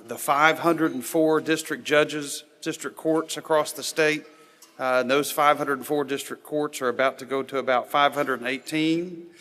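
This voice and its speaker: A man gives a formal welcome speech at a podium microphone, his voice bright and tense. He talks in a plain, steady way, filling gaps with "uh". A long reverb from the large banquet hall trails each phrase. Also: medium pitch. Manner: plain and steady, with frequent "uh" fillers. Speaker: district judge giving opening remarks.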